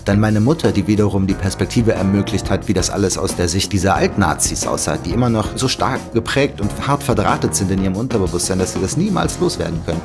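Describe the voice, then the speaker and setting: A man in his forties from Germany, telling his life story as an interview guest in a thoughtful way, with pauses and fillers. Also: low-pitched, lively intonation, music in the background. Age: 40s. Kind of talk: reflective storytelling.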